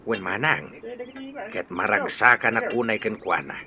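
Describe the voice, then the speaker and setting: An actor with an Ilocano accent playing a cheerful, proud middle-aged uncle in a radio drama. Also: male voice, lowish voice, animated, measured pace, dull audio, a crowd in the background.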